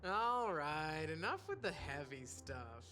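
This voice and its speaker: A woman's voice: deep voice, very animated, takes it slowly, a bit bright, soft background music, heavily acted. A woman playing an upbeat, encouraging companion in a video game, rallying friends. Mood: bright, rallying.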